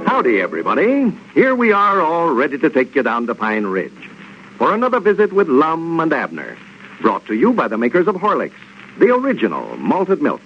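Animated American 1930s radio announcer man. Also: on the high side, moderate pace, soft background music. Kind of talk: 1930s radio sponsor read and scripted narration. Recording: muffled 1930s radio with faint noise.

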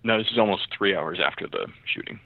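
Man as research co-host, low register, tentative casual explainer, heard over a muffled call line. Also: wildly swooping pitch, fast talker, gravelly, husky, no room sound. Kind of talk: casual analytical discussion. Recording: muffled narrow phone-like line.

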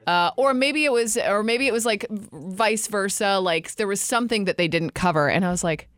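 A woman in casual podcast chat, lively and quick to laugh. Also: mid-range voice, no echo, studio quality.

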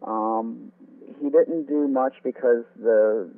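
A man's voice: medium pitch, slow, creaky. A man being interviewed as an author, explaining radio history in a conversational way. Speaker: interview guest, author on old-time radio. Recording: phone-like narrow, tinny sound.

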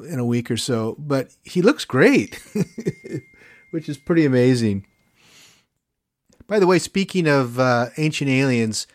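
A man's voice: mid-range voice, no room sound. Chatty male podcast host, dry sound. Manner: chatty, enthusiastic, rambling with fillers. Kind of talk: interview and show promotion.

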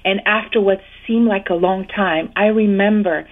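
Female interview guest, earnest measured storyteller, husky voice over a phone-like line. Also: mid-range voice, steady tone. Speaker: guest telling her story. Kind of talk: personal testimony in an interview. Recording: tinny phone-line sound.

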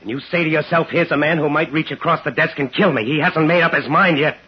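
Male actor for relentless, bitter man in radio thriller; higher-pitched, clipped sardonic delivery, hissy vintage sound. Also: fast talker, close to an everyday voice. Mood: menacing anger. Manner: terse, sardonic, needling questions. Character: bitter, relentless man home after years, hunting a killer. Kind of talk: 1950s radio drama acting. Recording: muffled, noisy 1950s radio recording.

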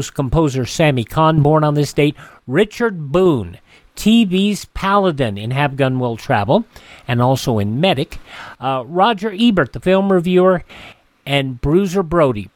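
Male radio host, informal announcer delivery, slightly high voice, dry studio sound. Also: no room sound.

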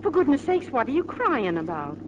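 A woman's voice: high voice, very animated, fairly quick, background noise. An actress playing a fussy, kindly middle-aged farm mother in a 1940s radio drama. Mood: chiding, kindly. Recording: dull old radio broadcast.

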